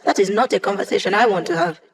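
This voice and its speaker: Video game mission-support hacker, female, young: wry, conversational, quick with quips; voiced by a woman. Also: medium pitch, natural voice. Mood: wry, playful.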